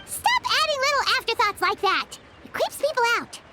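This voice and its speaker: Tiny squeaky game fairy sidekick, super high and swooping, indignant, loud noise behind. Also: female voice, big pitch swings. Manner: indignant, quick to protest. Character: tiny floating fairy-like female companion, excitable.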